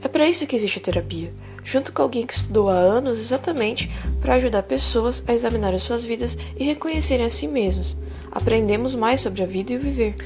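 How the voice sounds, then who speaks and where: A woman playing a calm female therapist in an audio drama, gently joking with her patient, with clear music underneath. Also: a little high, fairly quick, close to an everyday voice.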